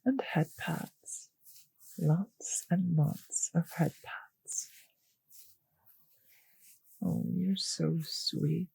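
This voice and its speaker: A woman playing a possessive, teasing vampire mistress in an intimate roleplay audio drama, speaking slowly and condescendingly with a breathy voice that often sinks to a whisper. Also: lowish voice, fairly even intonation, darkish tone, no echo, heavily acted, rough recording. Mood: doting, possessive.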